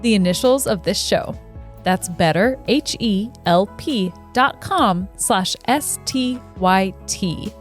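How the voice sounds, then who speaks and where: A woman reading a scripted sponsor message at a deliberate, reassuring pace. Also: medium pitch, expressive, faint music behind. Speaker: ad reader.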